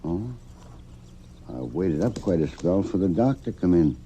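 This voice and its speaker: A man's voice: low-pitched, expressive, measured pace, dark tone. An actor playing a kindly, inquisitive frontier stableman with a folksy rural American Western drawl in an old-time radio drama. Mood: concerned, puzzled. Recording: dull, faintly noisy old radio.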